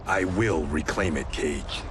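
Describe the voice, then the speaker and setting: A man's voice: extremely low pitch, slow pace, background noise. A man playing a stern, proud and impatient warrior in a video game cutscene, speaking seriously and curtly. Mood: terse. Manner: serious, curt, earnest.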